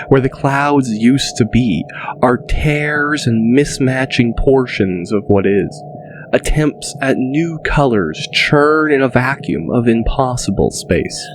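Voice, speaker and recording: A male narrator reads a dramatic fantasy audiobook. His delivery is slow and deliberate, at an average pitch, with music playing clearly behind him. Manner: deliberate, descriptive.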